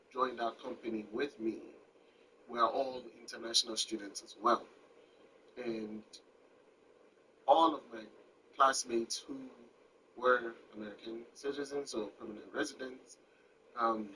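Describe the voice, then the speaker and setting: A man's voice: medium pitch, sharp tone. A man in his thirties from Ghana with a Ghanaian accent, telling a personal story in a reflective, candid way. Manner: reflective, candid, with frequent um fillers. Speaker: vlogger. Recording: distant mic with faint background noise.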